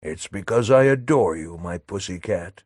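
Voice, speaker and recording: A man voicing a smooth young lover who soothes his sweetheart in a soft, reassuring way. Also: low-pitched, animated, normal speed, no room sound, clean studio sound. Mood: gentle.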